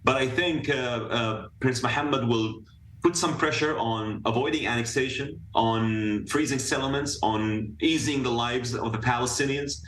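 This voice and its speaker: A man speaking as a guest analyst in a live news interview over a remote video link, talking earnestly with many fillers and restarts, and rising in pitch when he presses a point. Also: unhurried, a bit bright, a little hiss or hum. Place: room in another city. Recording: echoey remote feed from a distance.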